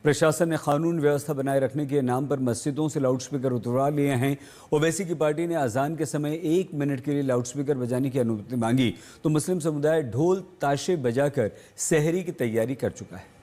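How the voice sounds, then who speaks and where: A man's voice: medium pitch, normal speed, mellow. A man presenting scripted news as a television anchor.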